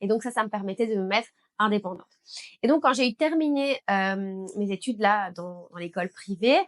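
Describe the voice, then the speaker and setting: A woman's voice: mid-range voice, moderate pace. A young woman with a Belgian French accent tells her own story to camera in a chatty, candid way, with frequent small fillers. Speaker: beautician and business owner. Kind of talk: personal story told to camera.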